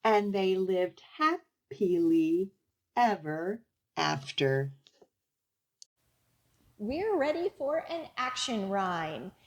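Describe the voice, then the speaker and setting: Female children's storytime host, very unhurried read-aloud with lively, expressive pitch. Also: muffled recording. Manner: slow, expressive, deliberate enunciation for young children. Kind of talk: children's picture book read-aloud and action rhyme.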